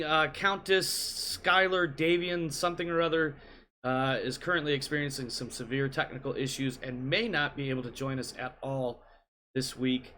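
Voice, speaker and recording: A man's voice: mid-range voice, sharp tone, a little hiss or hum. A man hosting a game league show from his home computer, talking casually with playful asides and jokes. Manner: joking, rambling, playful asides. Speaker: league host. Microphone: computer mic at home desk.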